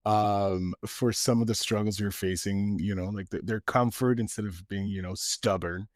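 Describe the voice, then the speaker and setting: A male podcast host speaks in an encouraging, casual way, often restarting his questions. His voice is on the low side, and it is recorded dry and close. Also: fairly even intonation, moderate pace, no room sound. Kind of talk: casual interview chat.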